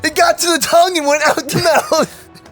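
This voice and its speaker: A woman giving casual, expressive let's play commentary, exclaiming and reading game text aloud. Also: sits high, normal speed. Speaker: gaming video co-host. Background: game music.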